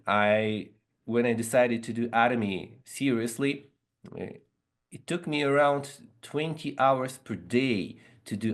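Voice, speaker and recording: A man in his thirties whose first language is Russian, giving an earnest motivational seminar talk over a video call, measured and pausing often. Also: on the low side. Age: 30s. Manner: earnest, measured, with frequent pauses and fillers. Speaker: seminar speaker.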